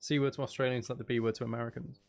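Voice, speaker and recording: A man's voice: medium pitch, level tone, very fast, gravelly, darkish tone, slight background noise. A man hosting a livestream, chatting casually in a sarcastic, exasperated ranting manner. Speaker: livestream host.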